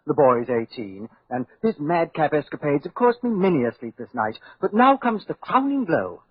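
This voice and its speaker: An actor playing an agitated middle-aged lord, anxious and melodramatic, in 1940s radio acting style. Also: male voice, a little high, very animated, normal speed, breathy edge, no room sound. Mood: distraught, indignant. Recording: muffled old 1940s radio recording.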